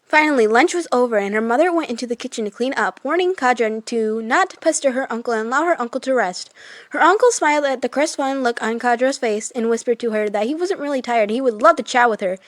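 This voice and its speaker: A woman's voice: a little high, lively intonation, fast talker, dry recording. A female reader narrating a children's story aloud.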